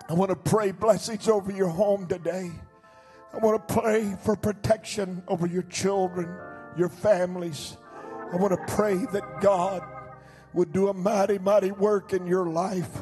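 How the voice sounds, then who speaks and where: An older man preaching a sermon as pastor from a church stage into a handheld mic, swinging between shouted declarations and low, quiet passages. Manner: fervent preaching, rising to shouts then dropping low.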